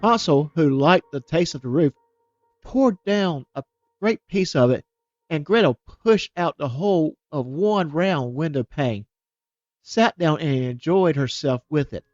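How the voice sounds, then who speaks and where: A man's voice: on the high side, expressive, unhurried, a little mellow, no echo, dull audio, soft background music. Man reading a fairytale aloud. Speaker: storyteller.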